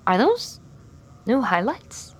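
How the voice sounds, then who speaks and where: A woman's voice: a little high, big pitch swings, slow, breathy edge, heavily acted. An actress playing a cool, ageless female vampire, reserved and politely evasive, in an audio drama. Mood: surprised.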